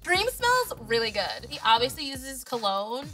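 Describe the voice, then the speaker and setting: A woman's voice: sits high, big pitch swings, moderate pace. A young woman with a chatty, giggly manner, talking candidly in a reality show confessional style. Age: young adult. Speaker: dating reality show participant. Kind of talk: chatty confessional and date talk. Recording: music behind the voice. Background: background music.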